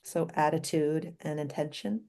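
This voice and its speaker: A woman guides a meditation in a low, slightly gravelly voice with a soft, mellow tone. She speaks gently and invitingly, leaving space between short phrases. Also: a little slow. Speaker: doctor leading a guided meditation.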